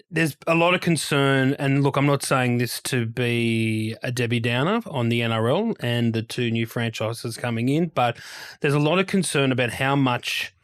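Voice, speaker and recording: An Australian man co-hosting a sports podcast in casual discussion, speaking haltingly but making his points with emphasis. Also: medium pitch. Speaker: podcast co-host, sports journalist.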